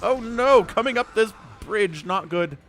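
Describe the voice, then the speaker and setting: Slightly hoarse, lively male game caster. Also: sits high, measured pace. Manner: excitable, swings between calm analysis and loud exclamations. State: slightly hoarse and stuffy from a cold. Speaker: solo game commentator. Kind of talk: live esports play-by-play commentary.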